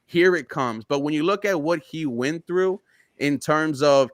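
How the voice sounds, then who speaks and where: A man on a casual sports podcast, breaking down his team's roster with excited, rapid-fire analysis. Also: a little high, dry recording, clean studio sound. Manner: excited, fast-flowing football analysis. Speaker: podcast guest.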